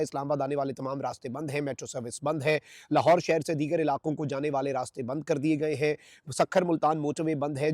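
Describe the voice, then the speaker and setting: A man's voice: fairly high pitch, darkish tone, no echo, studio quality. A man with a Pakistani accent delivering news commentary to viewers as a channel host, in an emphatic, repetitive way.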